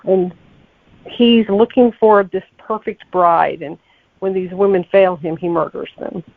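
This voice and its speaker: Female interview guest on a thin phone-quality line; gravelly edge, conversational, thinks aloud as she goes. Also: mid-range voice, measured pace, dry recording. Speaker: podcast guest, novelist. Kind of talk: casual interview chat. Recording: tinny, phone-like, narrow-band line.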